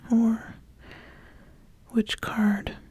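A woman voicing an ambitious, appraising witch in a breathy whisper for a fantasy ASMR roleplay, deliberate and given to repeating phrases. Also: on the high side, flat delivery, dark tone, no room sound, full character voice. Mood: deliberate, testing. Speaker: ASMR host.

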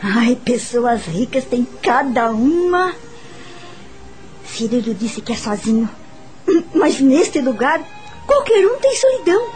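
A kind young Brazilian teacher in a radio drama. Her voice is high, expressive and musing, full of gentle curiosity. Music plays behind her. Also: full character voice. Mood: puzzled, pitying. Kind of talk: radio drama acting and first-person narration.

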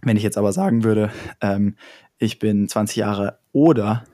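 A young man with a fairly low, mellow voice talks through an idea in casual conversation. He explains step by step, stopping often for fillers and starting sentences over. The delivery stays relaxed and unhurried.

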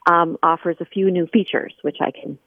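A woman's voice: lowish voice, fairly even intonation, moderate pace, no echo. A woman guest explaining her scholarly work informally and conversationally in an interview over a remote call. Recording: thin phone-like line.

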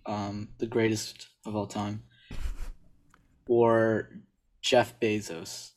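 A man in a casual podcast chat who guesses out loud and laughs often. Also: lowish voice, level tone, extremely slow.

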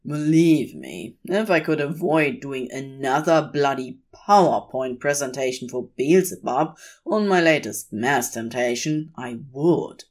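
A woman's voice: very deep voice, expressive, measured pace, few pauses, a little mellow, full character voice. A woman voicing a flippant, exasperated, scheming male demon in a fan fiction reading. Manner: flippant, sardonic. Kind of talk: audio fan fiction dialogue.

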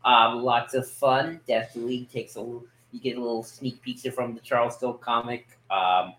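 A man talks casually as a podcast co-host. His voice sits at a middle pitch. He rambles loosely, working out his thoughts as he speaks. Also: steady tone, brisk, fairly bright tone, rough recording. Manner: loose, rambling, thinking aloud. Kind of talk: casual chat about books.

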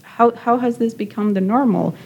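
A woman's voice: on the high side, moderate pace, creaky, darkish tone, husky, muffled recording. A woman from Nicaragua hosting a panel talk, speaking thoughtfully and a bit ramblingly.

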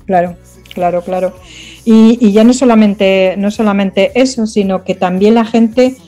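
A woman who is a guest on a radio interview, explaining in a lively way with frequent fillers and restarts. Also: medium pitch, soft background music. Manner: animated, halting with fillers. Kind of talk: conversational interview talk. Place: radio studio.

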